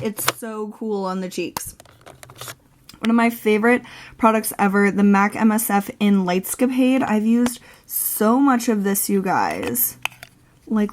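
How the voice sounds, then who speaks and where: A woman's voice: medium pitch, slight background noise. A young woman in her twenties showing her makeup collection to the camera at home, chatty and gushing, with lots of fillers. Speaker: beauty vlogger. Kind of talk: casual makeup collection tour. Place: indoors at home beside a makeup storage unit.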